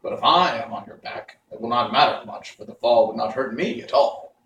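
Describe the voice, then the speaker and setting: A man plays a living straw scarecrow in a storybook, calm and logical. He talks in a measured, practical manner, with a roomy echo and faint noise behind. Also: mid-range voice, far from the mic, muffled recording, lightly acted. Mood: calm reasoning.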